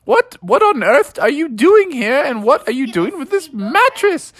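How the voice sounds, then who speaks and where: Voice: sits high, sing-song, unhurried, no echo, voice pushed up. A storyteller putting on a prim old owl. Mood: fussy. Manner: prim, hooting, wavering. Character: old, polite, fussy male owl.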